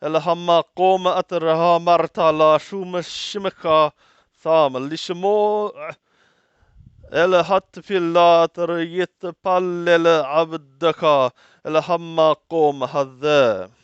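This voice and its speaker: Guy reading and translating scripture, halting and deliberate, smooth clean voice, very dry sound. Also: fairly high pitch, fairly even intonation, no echo, muffled recording. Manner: deliberate, halting, repeats and rephrases phrases.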